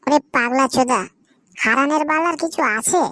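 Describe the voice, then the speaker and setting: A crude, bossy young village lad hatches schemes in a very high voice, as a woman acts him out. The sound is dry and close, and he speaks in a comic cartoon. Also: moderate pace, pushed and bright, no room sound. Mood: scheming.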